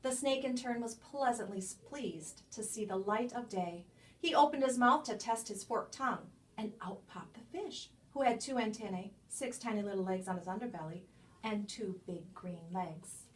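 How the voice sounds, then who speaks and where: A woman's voice: medium pitch, moderate pace, slight background noise. Woman reading a children's story, distant mic. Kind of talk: children's picture book read-aloud. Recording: distant microphone.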